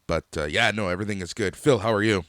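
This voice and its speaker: A man's voice: lowish voice, quick pace, fairly bright tone, no echo, clean studio sound. A man from Canada hosting a casual podcast, chatty and upbeat, laughing easily. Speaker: podcast host.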